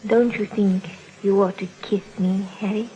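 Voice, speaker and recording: A woman's voice: mid-range voice, fairly even intonation, moderate pace, mellow, lo-fi sound, lightly acted. A woman playing a romantic, earnest young island woman in love, tender and pleading in old radio drama style. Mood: tender, coaxing. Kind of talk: 1950s radio drama acting.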